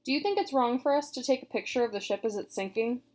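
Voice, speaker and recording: Woman reading thoughtful caring girl, earnest, kinda high. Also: fast, darkish tone, close to an everyday voice. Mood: uneasy.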